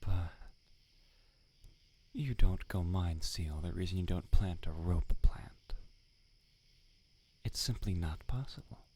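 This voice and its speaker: A man's voice: sits low, slow, long pauses, a little mellow, slightly rough, heavily acted. A man whispering as a prickly swordsmith. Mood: lecturing. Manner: whispered, exasperated, sarcastic scolding. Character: prickly, irritable male village swordsmith. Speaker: ASMR voice actor. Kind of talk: roleplay ASMR, whispered.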